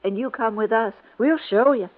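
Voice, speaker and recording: A woman's voice: fairly high pitch, normal speed, narrow, tinny audio, over loud music, natural voice. An actress playing an earnest, protective female servant, sincere and pleading, in a 1950s radio drama. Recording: band-limited old 1950s radio recording.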